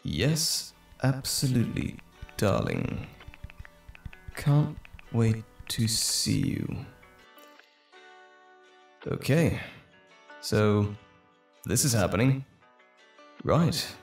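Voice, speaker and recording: Man acting as nervous romantic date, lively intonation. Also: lowish voice, extremely slow, vocal fry, pauses often, husky, faint music behind, exaggerated voice. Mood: anxious, excited.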